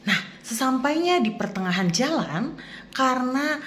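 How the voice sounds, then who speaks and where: A young woman narrates a children's story with an animated, swinging intonation. Her delivery is expressive and pitched at small listeners, with noise audible behind her. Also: unhurried. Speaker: student storyteller.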